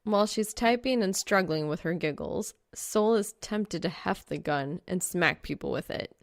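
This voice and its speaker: A woman's voice: lowish voice, moderate pace, creaky. A woman reading scripted fan fiction aloud as an audiobook narrator.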